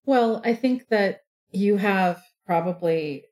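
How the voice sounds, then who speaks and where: A woman's voice: mid-range voice, takes it slowly, a little mellow. A woman conducting a casual interview in an encouraging, affirming way, often rephrasing what she hears.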